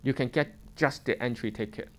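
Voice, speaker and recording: A man in his thirties from Hong Kong with a Hong Kong accent, giving an informal seminar talk as a fund manager, halting and restarting often with small chuckles. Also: mid-range voice. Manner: halting, many restarts and chuckles, plain conversational English. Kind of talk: informal lecture to students. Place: lecture room.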